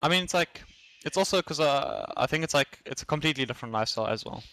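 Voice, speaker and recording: A man's voice: a little high, lively intonation, fast, creaky, bright, forceful tone, no echo. Young South African man, dry close sound. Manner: hesitant, repetitive phrasing with slang. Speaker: podcast guest, esports player. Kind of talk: casual chat.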